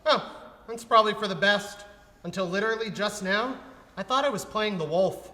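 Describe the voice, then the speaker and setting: An actor playing a dim, cheerfully clueless oddball performer in a live radio comedy. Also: male voice, sits high, normal speed, bright, forceful tone. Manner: goofy, earnest. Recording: reverberant, noisy ballroom stage.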